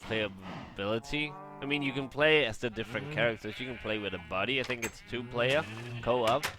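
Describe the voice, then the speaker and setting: A man gaming vlogger chatting casually and haltingly in a rambling review over gameplay. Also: medium pitch, over music.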